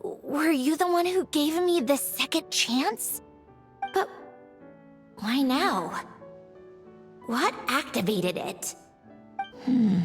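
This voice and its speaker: A woman's voice: sits high, expressive, very slow, pauses often, faint music behind, exaggerated voice. A woman voicing a sardonic, weary mechanical puppet researcher in a video game, speaking in a dry, analytical way. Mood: astonished, moved. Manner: analytical, dry, clipped self-talk.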